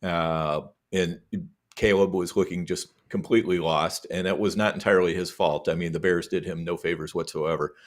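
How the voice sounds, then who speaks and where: Low-voiced podcast guest, easygoing. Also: male voice, moderate pace. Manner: relaxed, many ums and you-knows. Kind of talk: casual sports podcast chat.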